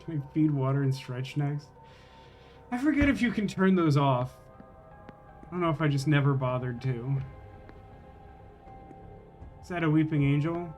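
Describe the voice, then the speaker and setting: A man with a slightly high-pitched voice commentates his game live over faint music. He talks to viewers casually and thinks out loud in a wry, rambling way. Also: lively intonation, a little slow, pauses often. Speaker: video game livestreamer.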